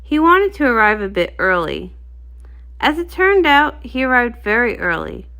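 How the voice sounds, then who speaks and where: Female storybook narrator, expressive scripted read, fairly high voice with lively intonation. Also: normal speed, gravelly.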